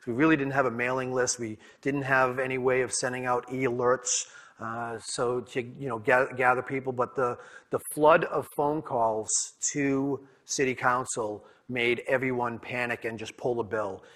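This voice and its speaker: A man's voice: mid-range voice, flat delivery, normal speed, a bit bright. A man with a Boston accent, from New England, giving a conference presentation in candid storytelling style, often repeating and restarting words. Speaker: conference speaker. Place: conference room.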